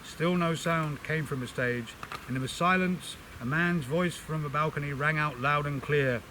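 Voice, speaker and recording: Man reading aloud over clear buzzing noise. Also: fairly high pitch, moderate pace. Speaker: amateur reader introducing and reading a book extract. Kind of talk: expressive literary reading aloud.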